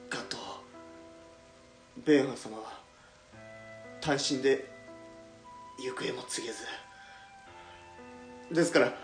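Gravely wounded, gasping loyal gang aide. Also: male voice, medium pitch, a bit bright, reverberant, poor audio. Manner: polite, halting speech in short broken phrases. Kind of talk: radio drama acting.

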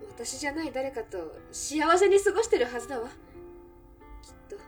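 A woman's voice: high voice, lively intonation, a little fast, recorded from a distance, faint music behind. A woman playing a wistful, reflective cafe owner remembering a lost love in a Japanese audio drama. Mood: wistful, nostalgic. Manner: reflective, feminine, emotive storytelling.

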